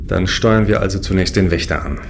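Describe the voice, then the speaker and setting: A man's voice: deep voice, a little fast, slight background noise. A man playing a confident, grandiose sect leader in a science fiction radio play, speaking in a lofty, solemn way. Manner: self-assured, solemn, lofty. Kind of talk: radio play dialogue and first-person narration.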